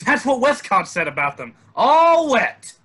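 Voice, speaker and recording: A man acting as a bitter young painter. His high, sharp voice swings widely as he talks with wounded sarcasm in stage drama style. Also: sing-song, measured pace, full character voice. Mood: bitter, dejected. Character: Jim, bitter, dejected young male painter. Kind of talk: virtual stage play table read.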